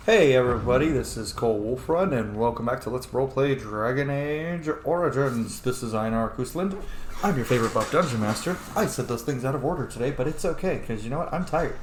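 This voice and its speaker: Brief: male let's player, mid-pitched, chatty and irreverent, recorded with noticeable room echo. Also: animated, brisk. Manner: joking, casual, sweary. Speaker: host of a gaming channel. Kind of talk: casual let's play commentary, role-playing in-game dialogue. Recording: roomy echo, faint background noise.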